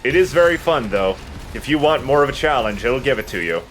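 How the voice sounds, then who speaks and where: A man's voice: mid-range voice, lively intonation, a little fast, a bit bright, faint music behind, rough recording. A man doing casual, joking gameplay commentary in a conversational way. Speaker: let's play commentator.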